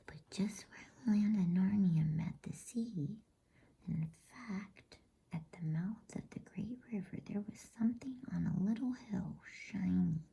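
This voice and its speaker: A woman reading a bedtime story in a soothing, breathy ASMR whisper, hushed and unhurried. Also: mid-range voice, poor audio.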